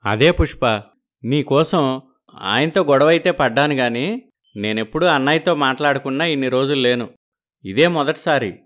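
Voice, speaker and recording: A man voicing a proud but soft-hearted village brother and husband in an animated moral story. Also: medium pitch, moderate pace, pauses often, lightly acted. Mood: downcast.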